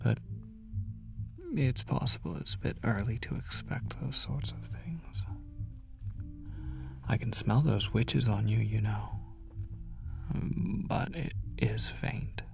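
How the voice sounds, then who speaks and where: A man playing an ageless, smug, teasing demon in an intimate audio roleplay, speaking with breathy, drawn-out condescension. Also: on the low side, dark tone, soft background music, heavily acted. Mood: sly, coaxing. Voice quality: breathy, creaky.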